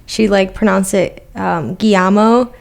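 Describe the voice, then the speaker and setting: A woman's voice: mid-range voice, unhurried, creaky. A young woman from Milwaukee, Wisconsin, a podcast guest talking casually and rambling with lots of fillers. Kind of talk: casual conversation.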